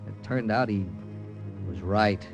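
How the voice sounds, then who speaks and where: A man's voice: on the low side, takes it slowly, soft background music. An actor playing a terse, resolute captain who narrates his own spy mission, authoritative and clipped, in a 1940s radio drama style. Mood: reflective. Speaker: lead and narrator. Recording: old radio drama with musical underscore.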